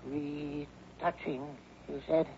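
A man's voice: on the high side, level tone, extremely slow, mellow. An actor playing a meek, nervous middle-aged craftsman, hesitant and deferential, in a 1960s radio drama. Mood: uneasy. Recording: dull, noisy vintage radio recording.

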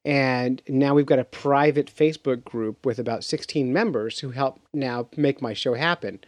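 A man who hosts a podcast, speaking in a measured, deliberate way. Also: mid-range voice, no echo. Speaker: podcast host. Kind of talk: casual podcast chat.

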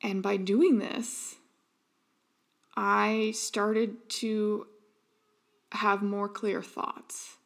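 A woman in her thirties hosting a podcast, telling a reflective personal story in an earnest, halting way. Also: medium pitch, little pitch movement, gravelly. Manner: earnest, with frequent ums and restarts. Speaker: podcast host.

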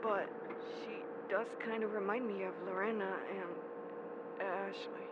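A woman's voice: mid-range voice, little pitch movement, slow pace, close to an everyday voice. Woman doing nervous stammery young guy, audio drama, muffled with noise behind. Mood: subdued. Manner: stammering, hesitant.

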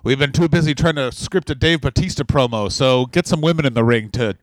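A man's voice: medium pitch, a little fast, no room sound, poor audio. A man co-hosting a wrestling podcast, riffing bluntly with crude jokes. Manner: blunt, crude, joking riffs. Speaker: podcast co-host. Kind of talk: casual podcast chat.

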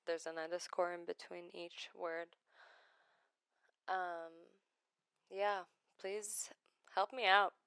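A woman in her twenties from Iraq talks about her life in a personal vlog, gentle and hesitant, with many pauses and restarts. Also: fairly low pitch, fairly even intonation, smooth, no room sound. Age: 20s. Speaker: vlogger.

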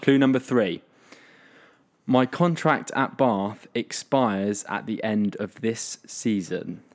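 A man hosts a relaxed podcast quiz, reading clues aloud. His voice sits a little low, and he stumbles through fillers and breaks into laughter easily. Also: takes it slowly. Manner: laughs often, hesitant fillers. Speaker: podcast host running a quiz. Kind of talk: casual podcast chat.

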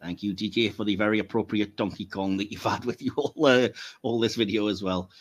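A man's voice: lowish voice, fairly even intonation, a little fast. A man with a British accent hosting a podcast in casual, chatty, rambling talk. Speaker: podcast host.

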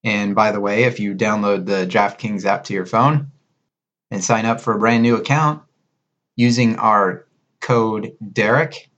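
A man's voice: on the low side, fairly even intonation, measured pace, fairly bright tone, highs cut off. A man hosting a podcast, moving between conversational sponsor talk and scripted narration. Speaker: podcast host.

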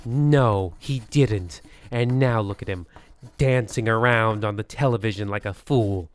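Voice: mid-range voice, a little slow, natural voice. An actor playing a pompous, boastful scriptwriter muttering a self-satisfied monologue in a comic radio play. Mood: smug, boastful.

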